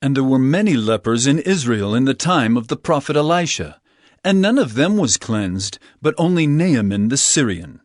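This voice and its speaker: Solemn preacher, played by a man: authoritative, declamatory, wide pitch movement, dry close sound. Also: very animated, dry recording, natural voice. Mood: solemn, earnest. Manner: declamatory, measured, earnest. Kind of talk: dramatized scripture reading.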